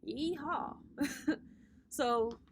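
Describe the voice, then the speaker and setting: A woman's voice: high-pitched, extremely slow, a little hiss or hum. A woman in her thirties doing a casual tarot reading vlog as a spiritual coach, with a sassy, playful way of talking that drops swear words. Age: 30s.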